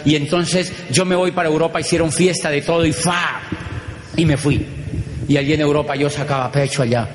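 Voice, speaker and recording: A middle-aged Colombian man with a Colombian accent, giving an animated storytelling talk to an audience, using rhythmic repetition. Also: fairly high pitch, noisy background. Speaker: motivational speaker. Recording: echoey hall with long reverb.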